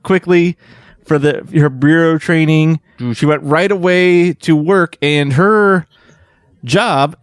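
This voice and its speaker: Higher-voiced man hosting a podcast, dry sound. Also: dry recording. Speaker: podcast host presenting research. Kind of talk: casual explanatory podcast chat.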